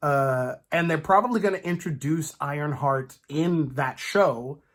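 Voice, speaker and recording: A man co-hosting a fan discussion in a casual, animated way, often slipping into imagined quotes as he talks. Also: a little high, unhurried. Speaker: co-host of a fan discussion show.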